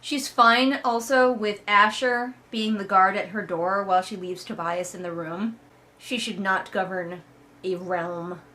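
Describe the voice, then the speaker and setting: A woman's voice: medium pitch, fairly even intonation, measured pace, pushed and bright, a little hiss or hum. A woman giving a casual comedic commentary as a read-along host, sarcastic, emphatic and exasperated, with a conversational, sweary way of talking.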